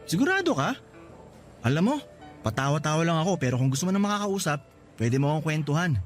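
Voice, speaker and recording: A man's voice: a little high, quick pace, faint music behind. A man playing a cheeky, friendly young co-worker who jokes at his own expense in radio drama dialogue. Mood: playful, caring. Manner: playful, teasing, animated. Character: cheeky, friendly male co-worker, self-mocking joker. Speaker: drama voice actor.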